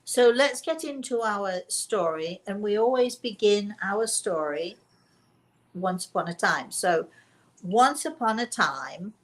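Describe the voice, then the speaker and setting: A woman hosting a casual spiritual talk, speaking deliberately and repeating phrases for emphasis. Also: medium pitch, lively intonation. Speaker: host and spiritual teacher.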